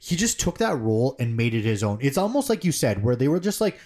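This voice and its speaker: Excitable man with a lively voice. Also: medium pitch, fast. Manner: rambling, excitable, many fillers and restarts. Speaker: podcast co-host. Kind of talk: casual chat about movies.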